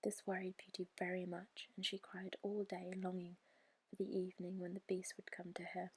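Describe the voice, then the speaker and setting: A woman whispering a bedtime story in a soft, breathy, unhurried and soothing ASMR style. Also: fairly low pitch, monotone. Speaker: storyteller, ASMR channel host.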